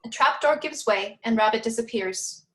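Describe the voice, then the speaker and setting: Woman narrator with a slightly high voice. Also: normal speed, pushed and bright. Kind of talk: scripted story narration.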